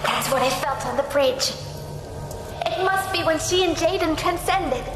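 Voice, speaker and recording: Game role: perceptive young girl, high voice, earnest and solemn, reverberant, loud score. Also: unhurried. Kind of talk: video game cutscene acting. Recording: echoing reverb under loud music.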